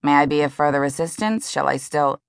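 A woman's voice: sits low, a little fast, vocal fry, clean tone, no room sound, studio quality. A woman voicing a polite male doorman who speaks formally on the phone. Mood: courteous.

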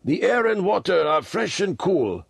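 Man playing a gentle old Tibetan lama, pitched high. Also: big pitch swings, measured pace, creaky, slightly rough, no room sound, clean studio sound, heavily acted. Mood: wistful.

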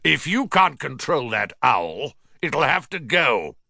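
A man's voice: medium pitch, big pitch swings, slow pace, vocal fry, pushed and bright, no room sound, studio quality, pitched above the performer's own voice, full character voice. A man voicing a pompous, bullying middle-aged uncle who roars and snarls in a storybook reading. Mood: angry. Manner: roaring, snarling outbursts.

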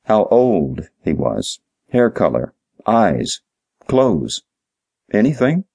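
A man voicing a weary, sarcastic police detective who questions deliberately and impatiently in a crime audiobook. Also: on the low side, very animated, vocal fry, mellow, breathy edge, pitched above the performer's own voice, exaggerated voice. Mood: exasperated.

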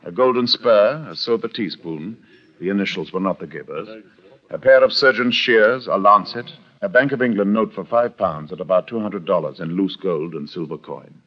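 A man narrating a frontier tale as a radio-drama storyteller, measured, theatrical and precise in his wording. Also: low-pitched. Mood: measured, dramatic. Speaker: narrator and character actor. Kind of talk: dramatic scripted storytelling, old-time radio. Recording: dull vintage radio recording.

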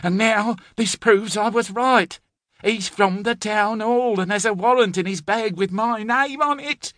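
A man's voice: sits high, measured pace, fairly bright tone, dry recording, studio quality, voice pushed up. A man reading an audiobook, voicing a frantic, melodramatic woman who runs an orphanage laundry and frets and wails. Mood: panicked. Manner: melodramatic, anxious, wailing.

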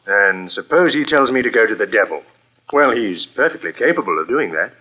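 An actor playing a gruff, reluctant senior hospital doctor in an old radio drama. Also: male voice, on the low side, expressive, fairly quick. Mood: reluctant, dry. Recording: dull vintage radio recording.

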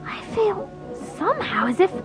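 A woman's voice: extremely high pitch, very animated, normal speed, faint music behind. An actress playing an eager, imaginative little girl in an audiobook drama. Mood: wonder.

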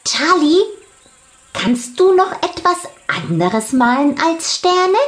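Very high little girl ladybug. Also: big pitch swings, takes it slowly, a bit bright, full character voice. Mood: eager, pleading. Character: eager little female ladybug child, wanting to paint. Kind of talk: children's audiobook character voice.

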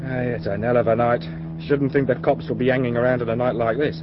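An actor playing a jumpy male car thief in the passenger seat of a radio drama, talking in a rough colloquial way. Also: medium pitch, fairly even intonation, fast, lightly acted. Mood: wary, chatty. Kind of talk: vintage radio drama acting. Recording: dull radio recording, loud car engine hum.

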